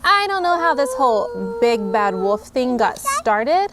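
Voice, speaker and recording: A woman, a mother, reading a picture book aloud to her children in an animated, expressive way with playful emphasis. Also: on the high side, measured pace. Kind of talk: storybook read-aloud.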